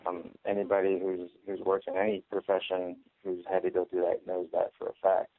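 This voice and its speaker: A man's voice: low voice, completely flat delivery, brisk. A man, a fighter, answering press questions in a hesitant, earnest way over a tinny phone line. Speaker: fighter on a media conference call.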